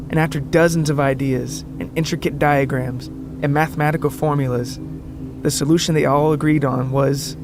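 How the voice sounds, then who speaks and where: Higher-voiced male storyteller over music. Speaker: podcast narrator. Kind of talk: scripted story narration. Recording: music behind the voice. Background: background music.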